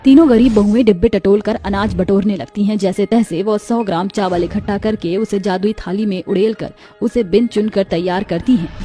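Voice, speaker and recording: A woman's voice: mid-range voice, warm tone. A woman narrating a scripted cartoon moral story as a storyteller, with a dramatic, literary delivery.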